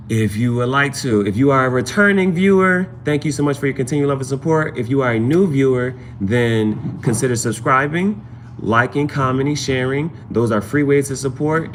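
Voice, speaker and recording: A man's voice: medium pitch, slight background noise. A man hosting a casual tarot livestream, chatting informally to viewers and rambling, often repeating phrases for emphasis. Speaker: livestream host and amateur tarot reader.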